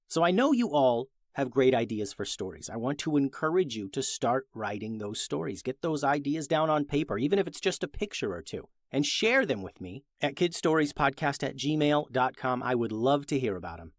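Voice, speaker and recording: Man, children's storyteller and podcast host, medium pitch, scripted narration, dry recording. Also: big pitch swings, moderate pace, few pauses, no room sound.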